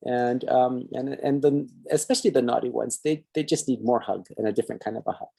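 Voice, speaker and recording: Gravelly Hawaiian Pidgin-accented male host. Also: medium pitch, fairly even intonation, brisk, dark tone. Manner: chatty and rambling, reads viewer comments aloud, mixes in Hawaiian words. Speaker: talk show host. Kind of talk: casual live-stream sign-off chat.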